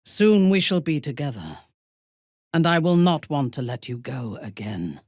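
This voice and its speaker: A woman playing a proud, ruthless dark elf warrior in a video game, with imperious, measured and formally phrased delivery. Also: sits low, sing-song, no echo, studio quality, full character voice. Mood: tender, intimate. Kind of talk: video game character dialogue.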